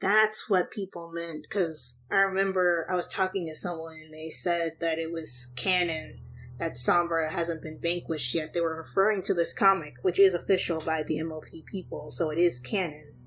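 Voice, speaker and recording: A woman's voice: lowish voice, fairly even intonation, moderate pace, fairly bright tone, slightly rough, poor audio. A woman hosting a reaction video, talking casually and hesitantly as she thinks aloud. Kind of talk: casual unscripted commentary.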